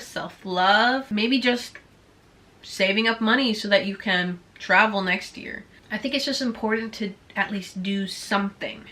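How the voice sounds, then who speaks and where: A woman's voice: medium pitch, sharp tone. A woman of about twenty whose first language is Swedish, chatting bubbly and casual through a Q&A with her viewers. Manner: bubbly, chatty, heavy use of "like". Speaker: vlogger.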